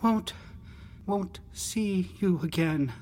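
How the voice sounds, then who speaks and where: A man voicing a feverish, frightened adolescent boy in a storybook, with a tremulous, halting, pleading delivery. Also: high-pitched, a little mellow, pitched above the performer's own voice. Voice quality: tremulous, thickened with tears. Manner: halting, pleading, stammered repetitions. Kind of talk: audio storybook character voice. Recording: long hall reverb, background noise.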